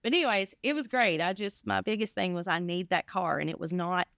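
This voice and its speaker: A woman's voice: on the low side, a little fast, fairly bright tone, no room sound, studio quality. A woman from the United States, a minister, who reads scripture and chats. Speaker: guest minister and Bible reader. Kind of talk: Bible reading and casual chat.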